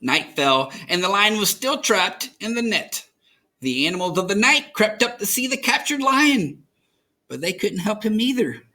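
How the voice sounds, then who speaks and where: Animated American man reading a children's story. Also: sits high, moderate pace. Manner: expressive, dramatic storytelling. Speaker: children's story reader, host.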